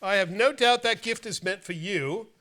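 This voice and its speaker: A man's voice: high voice, moderate pace, sharp tone. A man hosting a show, reading out mail and bantering in a theatrical, playful way. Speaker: host of a horror movie show.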